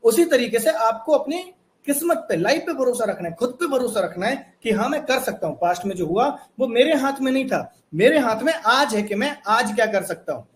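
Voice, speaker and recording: A man's voice: extremely high pitch, animated, brisk. A young man teaching a motivational course lesson, earnest and repetitive, asking his viewers rhetorical questions. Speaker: online course instructor.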